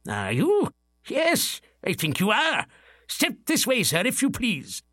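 A man's voice: very high-pitched, wildly swooping pitch, slow pace, pushed and bright, no echo, studio quality, pitched above the performer's own voice, heavily acted. A man voicing an elderly former miser who is now giddy and jovial, stammering and breathless. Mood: mock gruff.

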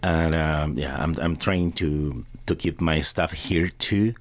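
A man from Chile with a Chilean Spanish accent, a musician guest speaking halting English with repeated words and friendly laughs. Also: a bass voice. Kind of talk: casual radio interview.

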